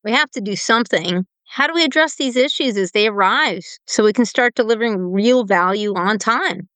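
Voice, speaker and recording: A woman playing an earnest, determined change leader at a software firm in an amateur radio play, speaking in a measured, cooperative way. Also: mid-range voice, clean tone, no echo, close to an everyday voice. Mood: concerned, determined.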